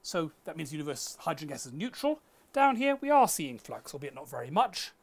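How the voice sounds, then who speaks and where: A man's voice: on the high side, sing-song, brisk, vocal fry. Middle-aged male lecturer explaining step by step. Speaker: astronomy lecturer. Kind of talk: conversational science lecture in a two-person dialogue.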